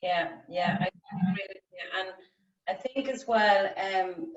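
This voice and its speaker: A woman's voice: medium pitch, unhurried. A woman facilitating an online workshop, chatty and rambling with many ums and likes. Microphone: video call. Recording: distant, roomy, lo-fi call audio.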